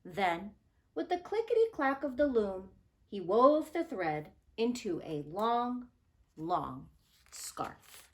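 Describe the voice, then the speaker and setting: A woman reading a children's story aloud in an expressive, dramatic way, with deliberate phrasing and stressed words. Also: mid-range voice. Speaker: storybook reader.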